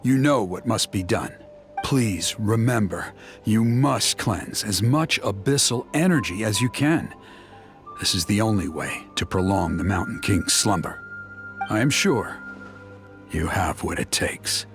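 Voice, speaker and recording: A man's voice: fairly low pitch, animated, measured pace, music in the background. A man playing an earnest, anxious village elder in a video game, with a formal and weighty manner. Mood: solemn, urgent. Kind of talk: video game character dialogue.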